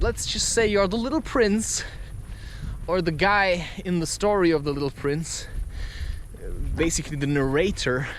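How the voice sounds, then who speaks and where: High-pitched, animated man rambling slowly outdoors. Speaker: vlogger recording a spoken-word podcast. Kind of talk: rambling, confessional monologue to listeners. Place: outdoors, recorded while walking.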